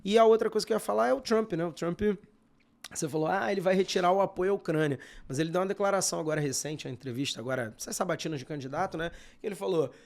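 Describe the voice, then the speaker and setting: A Brazilian man co-hosting a news roundup podcast in a loose, chatty way. His voice sits a bit higher than most men's, and he talks with plenty of casual fillers. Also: rapid-fire, vocal fry. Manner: chatty, informal, many fillers. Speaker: podcast co-host. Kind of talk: casual news-discussion chat.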